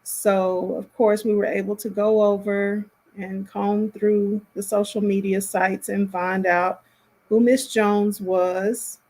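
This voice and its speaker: A woman hosting a casual live stream, giving commentary in a rambling, halting way as she thinks aloud. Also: mid-range voice, level tone, a little mellow, rough recording.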